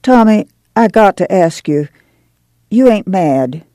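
A woman's voice: medium pitch, expressive, slow pace, dark tone, no room sound. A woman voicing an earnest, protective middle-aged farm mother, homespun and confiding, in an audiobook. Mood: anxious. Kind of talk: audiobook character acting.

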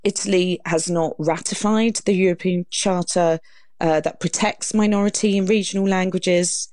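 A woman's voice: fairly low pitch, steady tone, normal speed, a little mellow, no room sound, clean studio sound. A woman, a guest author explaining her subject articulately in an interview.

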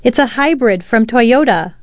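A woman's voice: on the high side, lively intonation, a little slow, no room sound, dull audio. A woman voicing an anxious young city woman, polite but incredulous and tense, in a narrated story. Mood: nervous, polite.

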